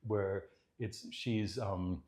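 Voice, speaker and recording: A man's voice: sits low, steady tone, very slow, a little mellow. A man from Boston, an art collector, talking casually in his apartment, rambling with many fillers and restarts. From Boston, Massachusetts. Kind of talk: casual conversation.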